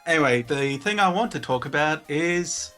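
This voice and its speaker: A man's voice: fairly high pitch, animated, normal speed, pushed and bright, soft background music. A man calling in as a guest, chatting casually. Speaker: call-in guest. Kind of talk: casual chat.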